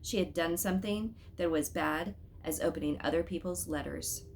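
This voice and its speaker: A woman reading a children's story aloud as an expressive storyteller, with dramatic, emphatic phrasing. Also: sits low, a little hiss or hum.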